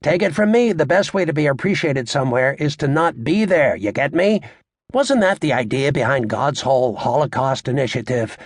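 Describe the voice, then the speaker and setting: A man playing a brash, crude stand-up comedian working a club crowd in an audiobook, with a raspy voice and a cocky, sarcastic, showy, needling delivery. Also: mid-range voice, expressive, moderate pace, no echo, clean studio sound, natural voice.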